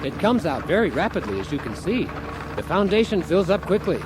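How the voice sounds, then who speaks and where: A man's voice: high-pitched, very animated, brisk, background noise. A man playing a blithely cheerful, accident-prone husband who hosts a do-it-yourself radio comedy, instructive and unruffled by disaster. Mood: earnest, instructive. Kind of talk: parody how-to radio comedy.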